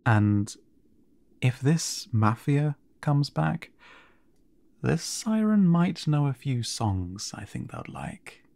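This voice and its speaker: A man playing a kind, awkward siren merman in an audio roleplay, speaking to the listener in a hesitant, stammering, gently encouraging way. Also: mid-range voice, sing-song, slow pace, creaky, no echo, heavily acted. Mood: hesitant, reassuring. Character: kind, awkward male siren merfolk guiding a newcomer. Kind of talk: intimate audio roleplay drama, addressed to the listener.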